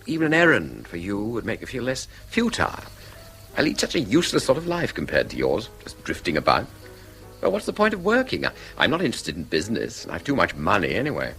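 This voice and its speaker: An actor with an English accent playing a lovelorn, idle rich gentleman in a radio drama, earnest and halting as he implores. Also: on the low side, huge pitch swings, creaky, soft background music, exaggerated voice. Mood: self-deprecating, earnest. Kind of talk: British radio drama acting.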